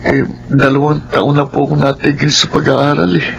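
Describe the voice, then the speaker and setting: A man's voice: mid-range voice, completely flat delivery, normal speed, vocal fry, lightly acted, rough recording. An actor playing a meek, mistreated runaway teenage boy in an audio drama, polite and hesitant. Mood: humble, grateful. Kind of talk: audio drama acting with spoken-thought monologues. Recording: loud background noise.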